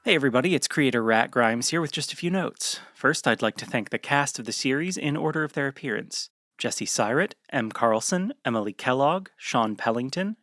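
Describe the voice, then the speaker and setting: A man's voice: mid-range voice, fairly bright tone, no room sound, clean studio sound. A man, the show's creator, reading a scripted podcast outro with credits and announcements.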